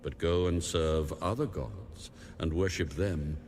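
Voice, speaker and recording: A man playing God in a dramatized Bible reading, solemn and commanding, speaking in a grave, measured way. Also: a bass voice, a little mellow, slight background noise. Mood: stern warning. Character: God, solemn commanding male deity giving a warning. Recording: music under the voice. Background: music.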